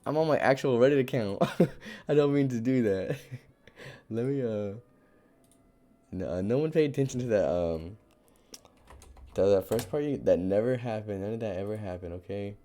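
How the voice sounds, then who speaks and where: A young man with a hoarse, raspy voice reads Reddit posts aloud on a casual live stream. His voice sits a little low and mellow, and he wanders off into chatty asides full of "like" and "you know.". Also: normal speed, pauses often. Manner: chatty, rambling asides with fillers and laughs.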